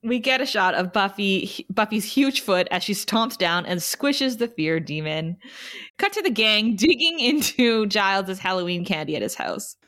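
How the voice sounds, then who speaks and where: A woman's voice: mid-range voice, very animated, normal speed, a bit bright. A woman podcast host narrating a script at a table read and chatting casually.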